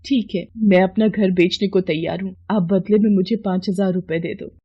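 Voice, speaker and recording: A woman voicing a humble, devoted village wife in a moral story, gentle and pleading. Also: mid-range voice, steady tone, normal speed, muffled recording, background noise, lightly acted. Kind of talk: Hindi moral story voice acting.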